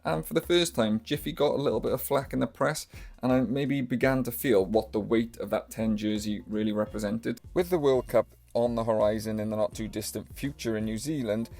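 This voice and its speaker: A man's voice: fairly low pitch, a little mellow. Welsh man narrating with chatty asides. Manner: chatty storytelling with personal asides. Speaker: documentary narrator and channel host. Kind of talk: casual documentary narration.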